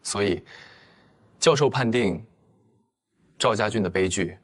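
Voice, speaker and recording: A man playing a seemingly innocent, shrewd young university student in an animated drama. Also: on the low side, measured pace, slightly rough. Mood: probing. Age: young adult. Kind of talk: audio drama acting for an animated story.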